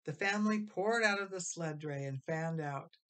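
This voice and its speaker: A woman reading a children's story aloud as expressive scripted narration. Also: deep voice, normal speed. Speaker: storybook reader.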